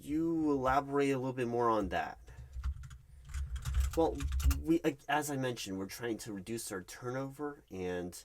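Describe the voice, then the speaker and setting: A man's voice: mid-range voice, lively intonation, moderate pace, slight background noise, lightly acted. A man playing a nervous, polite recruitment manager in an ASMR interview roleplay, hesitant and stammering as he stays diplomatic. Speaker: job interviewer. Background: computer keyboard typing.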